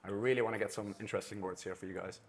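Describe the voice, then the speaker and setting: A man's voice: on the low side, fairly even intonation, rapid-fire. A man with a Dutch accent moderating a live conference panel, chatty and often restarting his phrases. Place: conference room with an audience.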